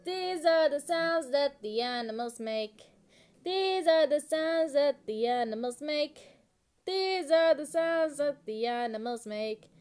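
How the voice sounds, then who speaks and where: Woman, high pitch, very unhurried, sing-song children's rhyme, simple repetitive delivery. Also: lively intonation, darkish tone.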